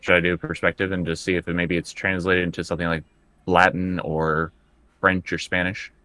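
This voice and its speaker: A man with a low, bright voice, recorded close and dry, plays a tabletop role-playing game. He improvises casually, often stammering and hesitating as he hunts for the right word. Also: level tone, fairly quick, no room sound. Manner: hesitant, stammering over words.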